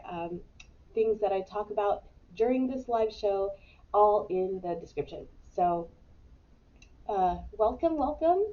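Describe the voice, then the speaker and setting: A woman's voice: mid-range voice, lo-fi sound. A woman in her thirties with a stuffy cold, chatting casually as host of a weekly live show, rambling with many fillers. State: congested with a cold. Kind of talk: casual live-stream chat.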